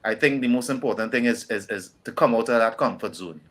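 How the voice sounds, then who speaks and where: A man's voice: on the low side, fairly quick, fairly bright tone. A middle-aged man with a Trinidadian accent, talking casually as a musician guest in an interview. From Trinidad and Tobago.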